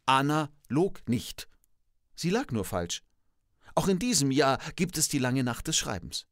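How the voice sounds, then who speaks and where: Comic podcast role: pedantic, contrarian old-school professor, played by a man, precise and declamatory, lively pitch swings, dry close studio sound. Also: a little slow, dry recording. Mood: dry, musing. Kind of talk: scripted comic dialogue. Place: radio studio. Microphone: studio microphone.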